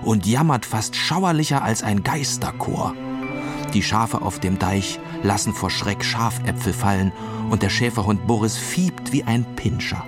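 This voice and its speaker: A man narrating a children's audio story in an expressive, scripted style. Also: fairly low pitch, measured pace, over music.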